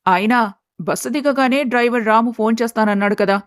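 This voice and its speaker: A woman's voice: on the high side, brisk, clear, resonant tone, no room sound, close to an everyday voice. A woman playing a practical, caring middle-aged village mother in a dramatised story, motherly and chiding. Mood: calm, content. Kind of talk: dramatised moral story acting.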